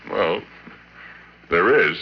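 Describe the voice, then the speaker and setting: A man's voice: low voice, animated, extremely slow, creaky, husky, full character voice. An actor playing an easygoing, burly American oil driller in 1940s radio drama style, talking in folksy, colloquial working man's speech. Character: easygoing burly oil driller Porky, telling his tale. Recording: muffled vintage 1940s radio recording.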